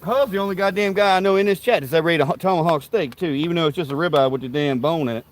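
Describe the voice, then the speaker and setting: A man's voice: on the high side, racing, slight background noise. A man hosting a live stream outdoors at a backyard grill, rambling to his viewers with profane, rowdy trash talk and folksy banter. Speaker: livestream host.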